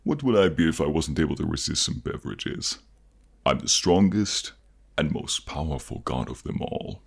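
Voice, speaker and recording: A man playing a proud, boastful Norse thunder god with a gruff, husky voice in a fantasy audio roleplay. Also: a bass voice, lively intonation, moderate pace, full character voice. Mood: indignant, boastful. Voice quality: gravelly, husky. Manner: boastful, pompous, easily provoked.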